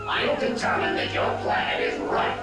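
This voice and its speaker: A man's voice: moderate pace, close to an everyday voice. A man playing a pompous, theatrical alien conqueror villain in a video game, declaiming grand threats. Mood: grandiose threatening. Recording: heavily echoing, distant voice over loud music.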